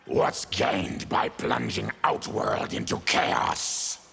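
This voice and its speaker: An actor playing a stern, wary protector of his people in a fighting game, with a hoarse rasping growl. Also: takes it slowly. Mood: stern, accusing. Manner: stern, forceful. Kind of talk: fighting game character dialogue.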